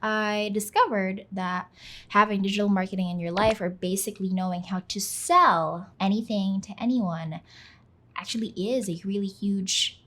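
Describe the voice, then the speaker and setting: A woman's voice: mid-range voice, steady tone, moderate pace, fairly bright tone. A young woman from the Philippines, whose first language is Filipino, talking casually in a voice-over for her channel, chatty and self-deprecating, with plenty of "like.". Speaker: YouTuber, channel host. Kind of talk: casual talk to camera. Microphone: voice-over, not on camera.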